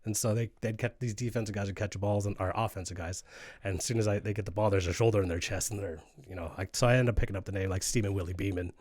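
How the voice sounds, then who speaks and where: A man in his forties, a guest in a conversation, telling relaxed rambling stories and laughing often. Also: fairly low pitch, racing, dry recording, clean studio sound. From United States.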